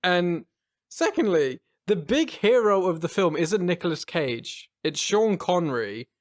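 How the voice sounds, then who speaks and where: A man's voice: high voice, very animated, measured pace, no echo, clean studio sound. A man from the UK, co-hosting a film podcast, chatty, enthusiastic and swearing freely. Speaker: podcast co-host. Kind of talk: casual film chat.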